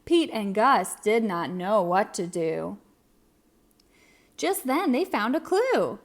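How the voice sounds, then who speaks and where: A woman's voice: medium pitch, big pitch swings, slow pace. A woman narrating a children's read-aloud story expressively, with dramatic emphasis.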